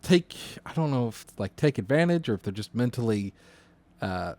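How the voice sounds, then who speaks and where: A man in casual podcast conversation as a co-host and dispatcher, informal and halting as he thinks aloud. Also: mid-range voice, big pitch swings, darkish tone.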